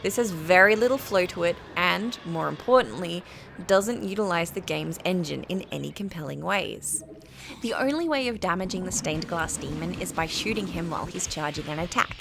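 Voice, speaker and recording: A woman with a British accent reading a scripted game review in a measured, articulate, critical way. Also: lowish voice, expressive, creaky, noisy background. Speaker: video essay narrator.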